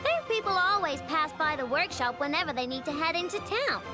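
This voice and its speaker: A woman voicing a feisty, indignant little girl inventor in an animated video game. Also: high voice, fairly quick. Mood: earnest. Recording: loud game music behind.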